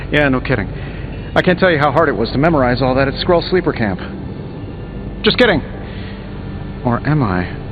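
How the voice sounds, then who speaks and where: Video game superhero inventor, male actor: American, quick-witted, smug wisecracker, mid-pitched, music clearly underneath. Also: big pitch swings, lightly acted. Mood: glib, self-mocking. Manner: sarcastic, glib, quick-witted banter. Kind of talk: video game dialogue acting. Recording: dull game audio with music behind.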